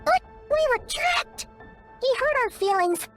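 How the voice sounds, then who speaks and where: A woman voicing a tiny, childlike fairy sidekick in a video game, excitable, naive and quick to exclaim. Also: music in the background, full character voice. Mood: indignant. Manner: squeaky, exclamatory, speaks of herself in third person.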